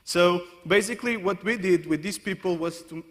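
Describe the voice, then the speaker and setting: Guy from portugal giving a conference talk, kinda high voice, stops and restarts a lot, big echoey hall. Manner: halting, many restarts and self-corrections. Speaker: conference speaker. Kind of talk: conference presentation. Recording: long hall reverb.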